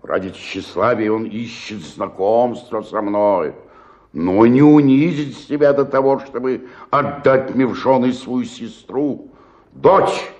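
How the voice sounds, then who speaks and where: An actor playing a despairing, self-pitying old provincial actor in a radio play, declaiming and then sinking into murmurs. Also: male voice, mid-range voice, slow pace, a little mellow, dull audio, lightly acted. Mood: bitter, disillusioned. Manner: declamatory, swinging between outburst and murmur. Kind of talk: radio play dramatic monologue.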